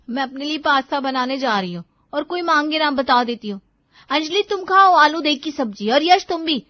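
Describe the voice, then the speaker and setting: A woman's voice: high voice, no room sound, highs cut off, voice pushed up. A woman voicing an irritable, sarcastic young daughter in a cartoon family story, complaining and taunting.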